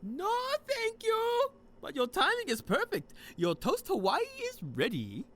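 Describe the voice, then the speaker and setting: A man's voice: extremely high pitch, huge pitch swings, a little slow, fairly bright tone, exaggerated voice. An actor playing a fussy, sentimental man in a theatrical, effusive way, in a comic audio drama. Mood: cheerful, eager. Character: fussy, sentimental man from the old country, Hans.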